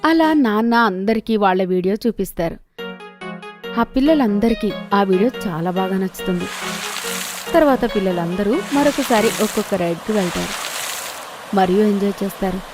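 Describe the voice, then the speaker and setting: A woman's voice: mid-range voice, animated, moderate pace, music in the background. A woman telling a scripted children's story as its narrator.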